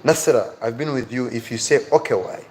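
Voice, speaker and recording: A man's voice: medium pitch, fairly quick, a little mellow, faint music behind, poor audio. A man with an African accent giving a motivational live lesson to viewers as their teacher, earnest and emphatic, repeating key phrases.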